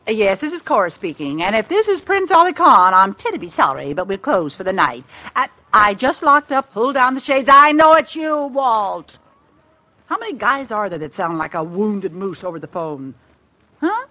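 A woman's voice: medium pitch, big pitch swings, normal speed, sharp tone. A woman playing a brash, wisecracking but kindly diner waitress in a 1950s radio comedy, with a sassy, exaggerated, teasing delivery. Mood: sassy, exasperated.

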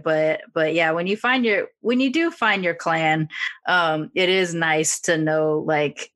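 A woman with a fairly low voice hosts a relaxed podcast conversation. She talks candidly and thinks aloud as she goes. She often breaks into a soft laugh. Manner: open, reflective, often laughing softly. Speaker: podcast host.

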